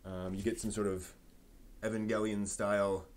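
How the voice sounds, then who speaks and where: A man hosting a live stream, giving a manga review in an articulate, expressive way. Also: fairly low pitch, moderate pace, slight background noise. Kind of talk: manga review on a live stream, part prepared, part casual chat.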